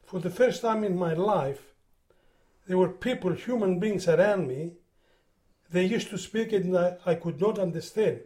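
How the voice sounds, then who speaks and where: Older greek guy, accent, high-ish mellow voice, stops and repeats a lot. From Greece. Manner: halting, repeats words while recalling. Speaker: interviewee. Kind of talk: oral history interview.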